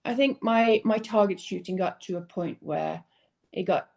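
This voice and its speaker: A young woman from Norway chats easily as a podcast guest, with a relaxed, unhurried way of talking. She laughs readily and often restarts a sentence before finishing her thought. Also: medium pitch, vocal fry. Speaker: guest, powerboat racer. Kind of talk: casual podcast interview.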